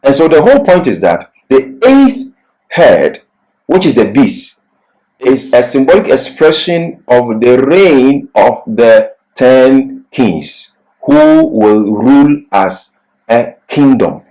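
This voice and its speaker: A man giving a scripted Bible lecture as a teacher, with deliberate, emphatic phrasing and pauses for explanation. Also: mid-range voice, wildly swooping pitch, warm tone, poor audio.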